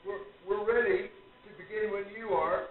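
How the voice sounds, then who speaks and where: A man playing an affable, considerate older gentleman in a radio play, courteous and a little hesitant. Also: sits high, steady tone, unhurried. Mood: eager, calling out. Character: affable, kindly older gentleman, devoted spiritualist writer. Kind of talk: amateur radio play acting. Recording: echoey, distant, tinny, noisy room recording.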